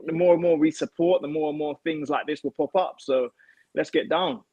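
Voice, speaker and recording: A young British man with a slightly high voice, picked up fairly dry. He chats casually as a guest on a video call. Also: brisk, mellow, husky, no echo, lo-fi sound. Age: young adult. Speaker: guest, athlete. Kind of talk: casual chat.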